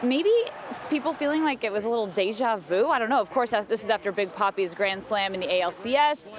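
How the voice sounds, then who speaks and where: A woman's voice: medium pitch, animated, fairly quick. An American woman working as a TV reporter, giving live morning news from outdoors with casual banter. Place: outdoors outside a city ballpark. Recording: live outdoor broadcast with background street noise.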